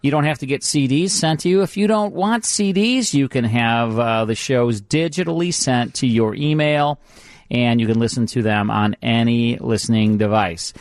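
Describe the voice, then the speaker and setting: A man's voice: medium pitch, moderate pace, no room sound. An American man hosting a radio show in a casual, conversational, repetitive sales patter. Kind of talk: casual radio promotion and chat. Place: dry radio studio.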